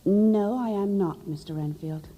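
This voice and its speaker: Lady doing a polite nervous married woman in radio drama, hesitant, breathy, reverby sound. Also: medium pitch, a little slow, muffled recording, lightly acted. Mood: guarded. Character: polite, slightly uneasy young married lady.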